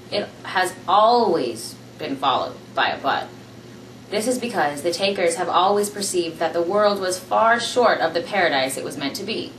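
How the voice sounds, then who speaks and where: A woman's voice: on the low side, fairly bright tone. Young woman reading a novel aloud, roomy. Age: young adult. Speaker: amateur reader. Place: small room indoors, seated on a couch. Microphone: camera mic from across the room. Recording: roomy, distant camera audio with faint hiss.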